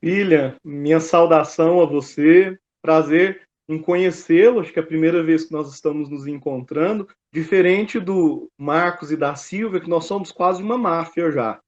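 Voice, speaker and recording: Higher-voiced Brazilian priest, muffled audio. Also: male voice, moderate pace, few pauses. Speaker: priest, guest speaker. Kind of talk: conversational explanatory talk.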